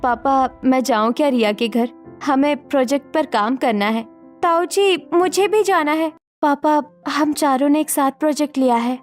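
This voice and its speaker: A woman's voice: high-pitched, moderate pace, faint music behind, natural voice. A woman voicing an aggrieved young adult daughter in a cartoon story, pleading and indignant. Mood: hesitant.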